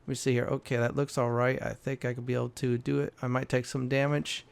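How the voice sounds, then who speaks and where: A man hosting a gaming walkthrough with casual commentary, halting often with filler uhs. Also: medium pitch, flat delivery, vocal fry, clean tone. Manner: halting, hesitant delivery with frequent uhs.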